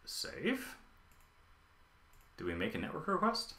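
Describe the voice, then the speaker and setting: Lively-voiced man live coding. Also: fairly high pitch, slow pace. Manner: thinking aloud, many ums and uhs. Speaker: live-coding streamer and developer. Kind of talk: live coding tutorial with chat replies. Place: home office, indoors. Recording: echoey room.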